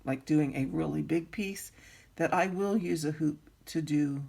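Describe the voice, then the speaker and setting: A woman with a raspy voice teaching needlework in an easygoing tutorial, pausing often with ums and clearing her throat. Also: low voice, warm tone. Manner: slow, unhurried, frequent ums and throat clearing. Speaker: embroidery teacher. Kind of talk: instructional craft tutorial.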